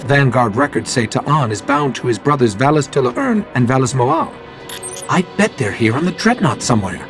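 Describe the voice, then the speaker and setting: A man's voice: medium pitch, big pitch swings, moderate pace. A man voicing a small robot scout in a video game, inquisitive and wry, explaining things expressively. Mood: alert, curious. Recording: game audio with music behind.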